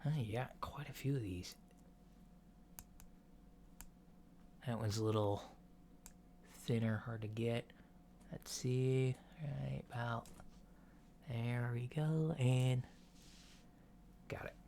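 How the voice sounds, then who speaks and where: A man playing a casual, reassuring alien doctor in a soft ASMR roleplay, speaking in a mild, hesitant, matter-of-fact way. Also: medium pitch, fairly even intonation, slow pace, pauses often. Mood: quiet, focused. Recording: lo-fi with clear background noise.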